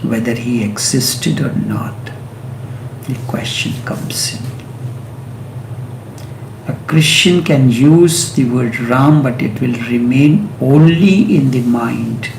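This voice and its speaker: A man whose first language is Hindi, giving a slow, meditative spiritual talk with long pauses and drawn-out chanted sounds. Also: mid-range voice, creaky, echoey room, noisy background. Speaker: spiritual teacher.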